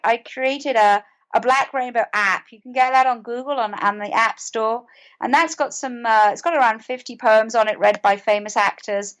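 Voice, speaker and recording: A woman with a British accent speaking as an interview guest, earnest and conversational, often repeating words as she goes. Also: on the high side. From United Kingdom. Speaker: podcast guest, author and mental health advocate.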